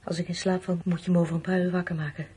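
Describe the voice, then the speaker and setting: An actress playing a frail, dazed, ailing woman with a husky, breathy voice in a radio drama. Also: fairly low pitch, flat delivery, very fast, warm tone, a little hiss or hum, natural voice. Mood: weak, uneasy.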